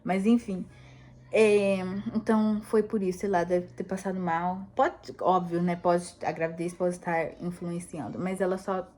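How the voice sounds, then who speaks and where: A woman's voice: lowish voice, flat delivery, a little slow, a little mellow. Chatty woman rambling in a casual vlog. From United States. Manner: chatty, rambling, laughs often. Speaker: vlogger. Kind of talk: casual chat.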